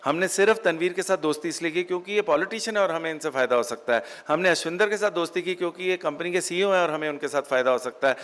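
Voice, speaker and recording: A man's voice: on the high side, fairly bright tone. A middle-aged man with a North Indian accent giving an emphatic motivational business keynote, repeating phrases for effect. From Chandigarh, India. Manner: emphatic, repeats phrases in parallel for effect, switches between English and Hindi. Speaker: keynote speaker, real estate executive.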